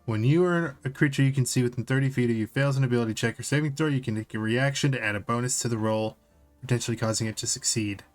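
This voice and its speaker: A man's voice: mid-range voice, fast talker, faint music behind. A man hosting a podcast, talking casually about tabletop games. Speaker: podcast host.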